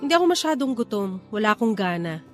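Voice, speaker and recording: An actress playing a grieving, withdrawn and defensive wife, curt and emotional, in a Filipino radio drama. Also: female voice, medium pitch, big pitch swings, quick pace, soft background music, natural voice. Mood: listless, withdrawn.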